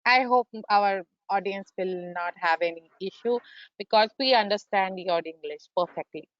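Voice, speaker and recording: A woman's voice: medium pitch, moderate pace, no echo, lo-fi sound. A woman hosting a webinar, politely wrapping up and making announcements over a video call. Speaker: webinar moderator.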